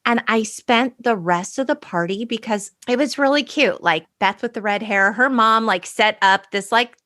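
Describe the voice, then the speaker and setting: A woman's voice: a little high, moderate pace, pushed and bright, dry recording, clean studio sound. A middle-aged woman co-hosting a podcast, telling personal stories casually with a dramatic, self-deprecating manner. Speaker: podcast co-host. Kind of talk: animated personal storytelling, casual chat.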